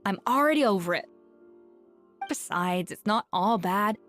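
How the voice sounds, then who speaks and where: An actress playing a composed, caring woman head chef in a video game, speaking in a firm, measured, formal way. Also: mid-range voice, expressive, fairly bright tone, faint music behind. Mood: calm, dismissive.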